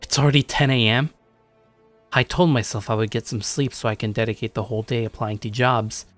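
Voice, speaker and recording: A man's voice: medium pitch, a bit bright, dry recording, natural voice. A man playing a jaded, self-conscious young shut-in, telling his story in the first person with weary, sardonic asides. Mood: weary, gloomy. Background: background music.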